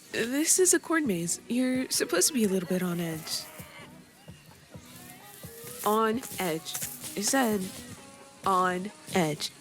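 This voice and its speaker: A woman voicing a friendly, busy young guild master who welcomes a new hire in a warm, slightly bossy way. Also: medium pitch. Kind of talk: scripted voice drama. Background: faint background music.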